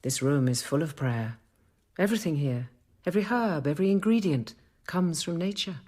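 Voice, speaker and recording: An actress playing a patient, devout middle-aged nun and apothecary in a radio drama, speaking in a measured, gently instructive way. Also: female voice, deep voice, expressive. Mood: gentle, measured.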